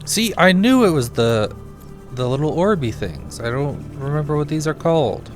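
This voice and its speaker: A man's voice: fairly high pitch, expressive, moderate pace. A man with a slightly scratchy voice giving casual gameplay commentary, rambling and muttering to himself as he thinks aloud. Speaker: small gaming streamer. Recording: music behind the voice. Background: game music.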